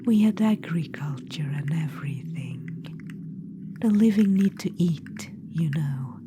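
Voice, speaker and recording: A woman voicing a vain undead witch-queen necromancer in an ASMR roleplay, whispering softly and calling the listener darling. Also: low voice, unhurried. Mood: smug whisper. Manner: soft intimate whisper, calls listener darling; smug, boastful, self-satisfied. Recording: whisper with steady background noise.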